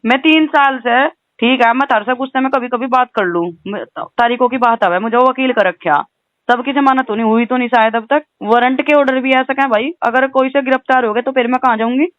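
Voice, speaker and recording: A woman's voice: high-pitched, steady tone, fairly quick, dry recording. A woman with a western Uttar Pradesh accent talks on a recorded phone call in an insistent, aggrieved way, often adding questioning tags. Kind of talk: heated phone conversation.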